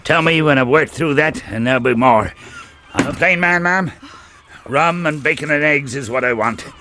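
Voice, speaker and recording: An actor playing a gruff, domineering, rum-drinking old seaman with a blunt, commanding manner in a radio drama. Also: a little high, moderate pace, lightly acted. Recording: light background noise and ambience.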